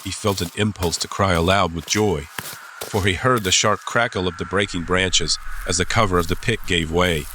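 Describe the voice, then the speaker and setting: Male narrator for an adventure audiobook: low voice, suspenseful delivery, clear ambient sound effects behind. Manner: suspenseful, deliberate phrasing. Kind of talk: scripted dramatic audiobook narration. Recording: clear background ambience noise.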